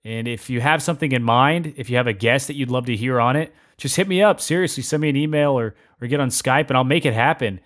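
A man's voice: mid-range voice, fast, hardly any pauses, a bit bright. A man hosting a podcast in an exuberant, playful, informal way with plenty of slang. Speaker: podcast host. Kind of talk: upbeat podcast hosting and interview.

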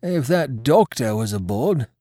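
Man acting out a rough, sly pirate, swooping pitch. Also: sing-song, unhurried, no room sound, clean studio sound. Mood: sour. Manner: rustic ungrammatical sailor talk. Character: wounded, sly, rough old pirate seaman. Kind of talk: storybook character voice in audiobook.

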